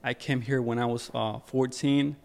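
Young man, from Ecuador, casual podcast guest, relaxed, lots of fillers, mid pitch. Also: moderate pace, creaky. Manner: relaxed, many fillers and repeats. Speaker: guest photographer.

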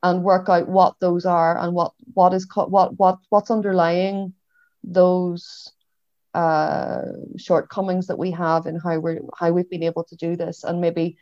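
A woman with a Derry, Northern Irish accent speaking as a historian on a panel, in a reflective, measured and hesitant way. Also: lowish voice, little pitch movement, a little mellow, no room sound. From Derry, Northern Ireland. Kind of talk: panel discussion. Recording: dull, lo-fi online audio.

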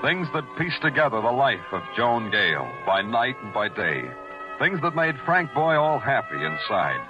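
A man's voice: mid-range voice, sing-song, normal speed, music in the background, natural voice. A man acting a hardboiled, world-weary police detective in 1940s radio noir style, dry, terse and moody. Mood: brooding. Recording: muffled vintage radio recording.

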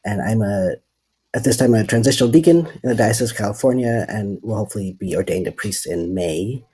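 A man's voice: on the low side, brisk, mellow, breathy edge. A man from the Netherlands, a seminary student, answering interview questions thoughtfully and hesitantly with many fillers.

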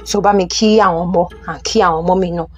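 A woman's voice: on the low side, fairly even intonation, very fast, slightly rough, soft background music. A woman with a Nigerian accent playing a busy, helpful older acquaintance in an audio drama. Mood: businesslike, helpful. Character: busy, helpful senior woman giving practical advice. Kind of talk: audio drama acting, Yoruba-English phone conversation.

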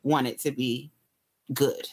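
A woman's voice: rumbling low, fairly even intonation, takes it slowly, a little mellow, slightly rough. A woman co-hosting a casual pop culture chat, candid and a bit hesitant, with many fillers. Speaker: podcast co-host.

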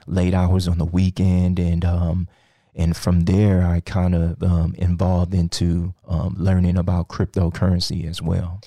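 A man in a podcast studio interview who talks haltingly, with many ums and restarts. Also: low voice, completely flat delivery, mellow, dry recording. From Nigeria, raised in Texas. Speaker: guest, crypto trader.

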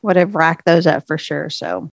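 A woman's voice: sits low, no echo, studio quality. A woman co-hosting a podcast as a dietitian, talking casually and a little stuffy. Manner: relaxed, chatty, drawn-out fillers. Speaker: podcast co-host, registered dietitian. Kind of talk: casual chat.

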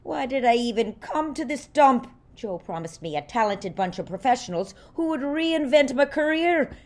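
A woman's voice: sits high, expressive, moderate pace, a little mellow. A woman playing a vain, imperious older Irish singing star in a comedic audio drama, haughty and scornful. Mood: scornful, impatient.

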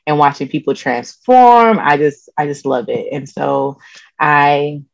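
A woman therapist with a low-register, soothing voice, answering interview questions calmly and openly. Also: unhurried, creaky, no echo. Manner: calm, open, laughs often. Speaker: therapist guest. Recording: dull lo-fi dry sound.

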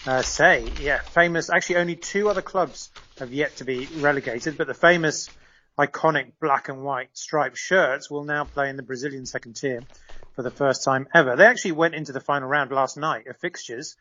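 A man's voice: on the high side, moderate pace, bright, forceful tone, dull audio. A man serving as a correspondent in a live radio interview, explaining at length with frequent fillers and restarts.

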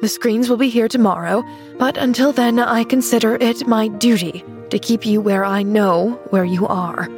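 A woman voicing a stern middle-aged aunt who speaks crisply. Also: a little high, steady tone, normal speed, faint music behind, close to an everyday voice. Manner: crisp and clipped.

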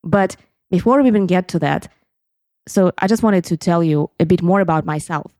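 A woman's voice: fairly low pitch, normal speed, a little mellow, no echo, clean studio sound. A woman in her thirties from Crimea whose first language is Russian, telling a personal story on her podcast in an earnest, reflective way. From Crimea, Ukraine. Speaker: podcast host.

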